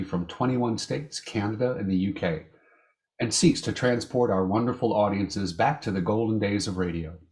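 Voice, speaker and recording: Low-voiced man hosting a radio show. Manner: measured, announcer-like reading. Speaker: host. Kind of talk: scripted show introduction.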